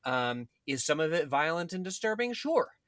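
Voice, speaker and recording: A man co-hosting a podcast, discussing politics analytically in halting, formally worded phrases with repeated words. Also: a little high, very animated, a bit bright, no echo, clean studio sound.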